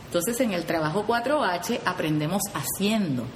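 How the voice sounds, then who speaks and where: Puerto Rican woman with a lower voice in an echoey room. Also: expressive, normal speed, background noise. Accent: Puerto Rican Spanish. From Puerto Rico. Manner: earnest, frequent "¿verdad?" tags and restarts. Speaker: 4-H program leader, guest. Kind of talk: radio interview, explaining.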